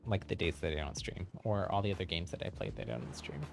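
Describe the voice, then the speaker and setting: A man's voice: low voice, expressive, quick pace, gravelly. A man hosting a live video game stream, chatting casually as he plays. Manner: chuckles often while talking. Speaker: livestream host playing a video game.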